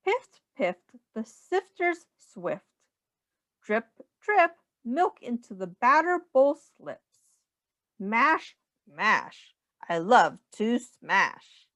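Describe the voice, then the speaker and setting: A woman's voice: on the high side, big pitch swings, very slow, fairly bright tone, no room sound. A woman reading a children's picture book aloud in a sing-song way, as a teacher speaking simply to young children.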